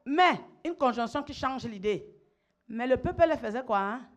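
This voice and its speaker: A woman's voice: on the high side, lively intonation, normal speed. A middle-aged woman pastor, preaching emphatically, repeating phrases and calling on her listeners to respond. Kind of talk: sermon.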